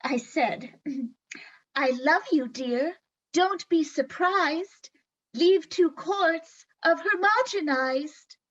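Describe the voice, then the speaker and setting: High-voiced, slow-talking housemaid played by a woman. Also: a bit bright, muffled recording, full character voice. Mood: earnest. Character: meek, dreamy, romantic housemaid. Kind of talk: old-time radio comedy acting.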